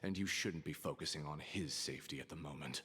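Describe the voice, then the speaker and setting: An actor playing a grim, fatalistic guard and assassin in a video game, speaking in a cold, deadpan manner with sighs, over music. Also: male voice, very deep voice, a little fast, slight background noise. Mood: grim, resigned.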